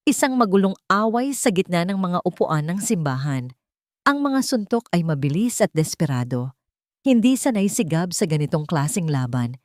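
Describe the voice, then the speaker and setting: Low-voiced woman narrating drama, dry close sound. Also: darkish tone, no echo. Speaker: story narrator. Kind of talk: scripted dramatic narration of a romance story.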